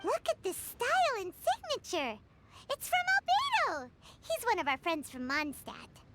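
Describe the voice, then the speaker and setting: A tiny childlike fairy girl from a fantasy video game. The voice is extremely high and squeaky, swinging wildly in an excitable, exclaiming way. There is clear noise in the recording. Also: measured pace, exaggerated voice. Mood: excited. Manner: exclaiming, refers to self by name.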